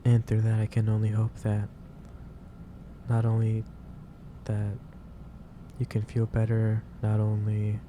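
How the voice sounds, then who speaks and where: Male, low-pitched, gentle boss roleplay in ASMR style, halting advisory delivery, over clearly noisy ambience. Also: completely flat delivery. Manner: hesitant, halting, pausing mid-sentence, gently advising. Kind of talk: soft ASMR roleplay, improvised monologue to the listener.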